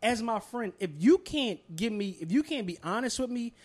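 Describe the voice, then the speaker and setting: A man's voice: very high-pitched, lively intonation, normal speed. A man co-hosting a podcast, debating casually and emphatically, often repeating himself to press his point. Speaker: podcast co-host.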